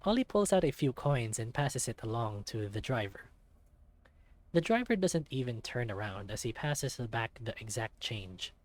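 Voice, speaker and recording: A man reading a visual novel aloud as an expressive narrator. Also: mid-range voice, moderate pace.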